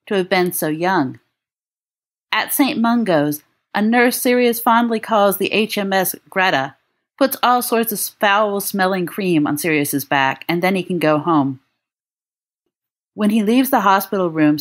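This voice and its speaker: A woman reading fiction aloud as an audiobook narrator, with expressive delivery. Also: lowish voice. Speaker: volunteer audiobook reader.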